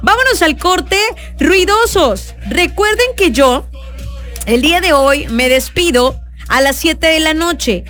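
A bubbly woman DJ with a Mexican accent talks in a high, bright register, her voice a little rough and hoarse. She chats warmly with listeners and laughs easily from a radio booth. Soft music plays faintly beneath her. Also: animated, takes it slowly. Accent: Mexican Spanish. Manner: chatty, laughing, greeting listeners. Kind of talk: lively radio show chat.

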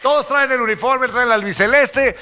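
A man's voice: very high-pitched, normal speed, heavy background noise. A man speaking as a wry, joking panelist on a sports show. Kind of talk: panel discussion.

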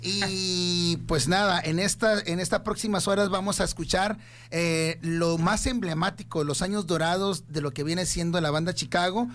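A man's voice: sits high, fairly even intonation, moderate pace, fairly bright tone. A man with a Mexican accent hosting a radio music show, playful and rambling, laughing often between songs. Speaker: radio host. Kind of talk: casual radio banter.

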